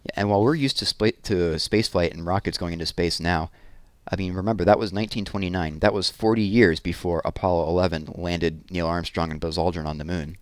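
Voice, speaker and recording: A man's voice: low voice, fairly even intonation, a little fast, dry recording. A man reading a children's true story aloud as host and narrator.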